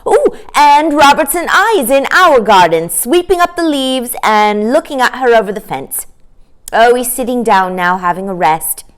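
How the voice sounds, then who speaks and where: Woman playing an excitable, high-pitched little boy. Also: unhurried. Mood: eager. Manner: breathless exclamations, eager reporting. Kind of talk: children's storybook character voice.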